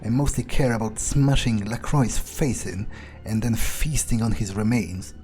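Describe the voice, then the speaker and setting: A man's voice: on the low side, fairly even intonation, measured pace, mellow. A man doing an in-character video game playthrough, thinking aloud in a blunt, sweary, informal way. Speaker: gamer playing in character. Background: faint game music.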